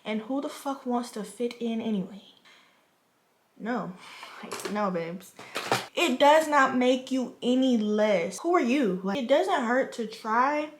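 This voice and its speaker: A woman's voice: a little high, animated, slow pace, echoey room, a little hiss or hum. A woman in her twenties from the Virgin Islands, chatting frankly like a big sister while doing her makeup at a home vanity. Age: 20s. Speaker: vlogger.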